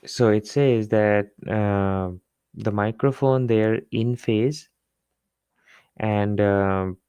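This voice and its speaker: A man working through a problem step by step as a tutor, in a halting way with pauses and repeats. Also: lowish voice, warm tone, smooth, no echo. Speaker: physics tutor. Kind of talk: explaining a worked problem step by step. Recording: dull, muffled lo-fi close recording.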